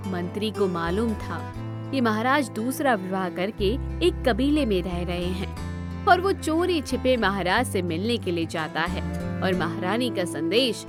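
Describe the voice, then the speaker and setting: A woman narrating a scripted children's bedtime story in an expressive way. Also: medium pitch, measured pace, music in the background.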